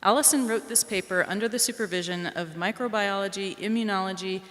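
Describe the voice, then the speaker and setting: A woman presenting awards at a ceremony, reading a mentor's statement aloud in a measured, formal way. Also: medium pitch, fairly bright tone. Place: library ceremony hall. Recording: long hall reverb.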